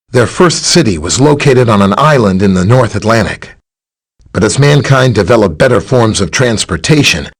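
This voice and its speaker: An actor playing a helpful, earnest scientist superhero in a video game, explaining technical matters in a friendly way. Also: male voice, fairly low pitch, breathy edge. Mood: informative, calm. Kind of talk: scripted game dialogue, explaining and briefing.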